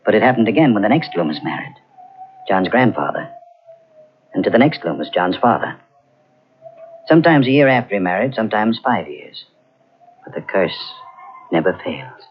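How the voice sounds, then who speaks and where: Brooding uncle for a 1940s radio thriller, low, husky voice; dull tape sound. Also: male voice, very animated, normal speed, pauses often. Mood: ominous. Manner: grave, ominous storytelling. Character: brooding older man, uncle of the house. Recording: dull old radio transcription.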